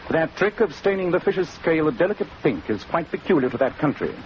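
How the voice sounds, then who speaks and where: An actor playing a keen, coolly superior Victorian gentleman detective, precise and declamatory, with an English accent, in a 1940s radio drama. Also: on the high side, animated, quick pace, lightly acted. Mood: cool, assured. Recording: muffled, noisy 1940s radio recording.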